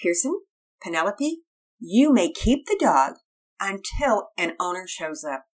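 Kindly father, read by a woman, slow and measured, husky tone. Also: on the low side, very animated.

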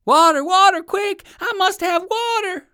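A woman voicing a savage, blustering male alligator in a children's story, loud, drawn-out and theatrical. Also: extremely high pitch, voice pushed up, heavily acted. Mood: frantic.

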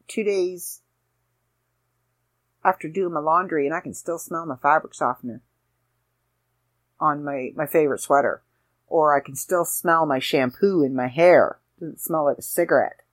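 A woman hosting her own podcast in an easy, rambling way, throwing in self-deprecating asides as she goes. Her voice sits a little low and has a slight rasp to it. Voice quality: slightly raspy. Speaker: podcast host. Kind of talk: casual solo podcast chat.

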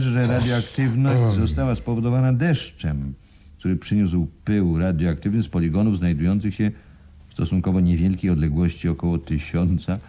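A man acting in a Polish radio play as an uneasy businessman, speaking in a formal, measured, articulate way. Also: low-pitched, mellow. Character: uneasy businessman reading an expert report aloud.

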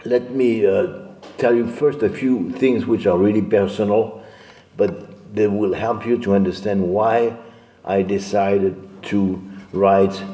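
An older man with a French accent giving a talk as guest speaker, halting with many fillers and restarts. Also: on the low side, mellow, reverberant, big-room sound. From France. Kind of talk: lecture talk.

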